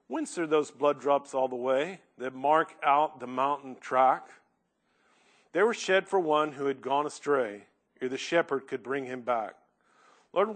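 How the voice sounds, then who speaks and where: A man preaching a sermon, loud and earnest, reading hymn verses with rising force. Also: mid-range voice.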